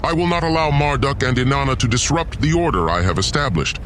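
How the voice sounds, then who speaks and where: A man's voice: mid-range voice, animated, quick pace, noisy background, pitched above the performer's own voice, lightly acted. A man voicing an arrogant, cold ancient god who declaims with pompous scorn in an audio drama. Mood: resolute.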